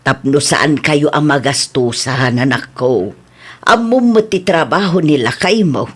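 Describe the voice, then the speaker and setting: Thrifty, nagging older mother played by an actress, Ilocano-accented, lively pitch, radio comedy drama. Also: a little slow, husky, full character voice. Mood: nagging, concerned. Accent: Filipino (Ilocano).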